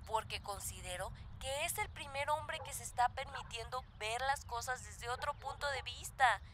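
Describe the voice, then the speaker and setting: A woman's voice: high-pitched, moderate pace, a bit bright. An actress playing a determined, inquisitive young woman in a radio drama, earnest in manner. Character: determined, earnest teenage daughter and young community interviewer.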